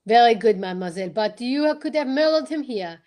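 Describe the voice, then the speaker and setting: A woman playing a pompous, courteous male detective, formal and deliberate, in old-time radio mystery style. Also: fairly high pitch, expressive, a little mellow, close to an everyday voice. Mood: grave, accusing. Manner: formal, deliberate, theatrical questioning.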